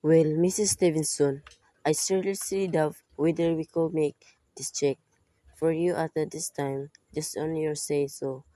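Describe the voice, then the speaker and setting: Chief operator played by a woman: low-pitched, formal, coolly skeptical, radio play, close dry sound. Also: steady tone, a little slow, dark tone, dry recording. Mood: coolly official. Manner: formal, procedural. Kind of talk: amateur radio play acting.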